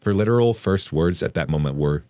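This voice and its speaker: A man reading an online post aloud as a storytelling narrator, in an expressive read. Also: deep voice, brisk, dry recording.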